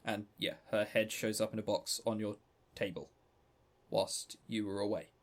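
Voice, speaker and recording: A man's voice: on the low side, little pitch movement, normal speed. A man co-hosting a podcast, casually reviewing a game and retelling its story in a rambling, chatty way with stammering restarts. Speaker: podcast co-host.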